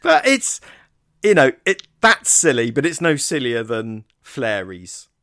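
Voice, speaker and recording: A man co-hosting a podcast, casually giving opinions in a halting, word-repeating way. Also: medium pitch, huge pitch swings, fairly bright tone, no echo, clean studio sound. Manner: hesitant, repeats words, opinionated. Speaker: podcast co-host. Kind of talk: casual film discussion chat.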